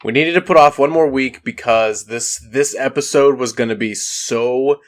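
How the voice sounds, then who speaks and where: A man's voice: medium pitch, normal speed. Easygoing male podcast host, a bit stuffy. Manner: relaxed joking banter with swearing. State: congested from allergies. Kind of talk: casual chat.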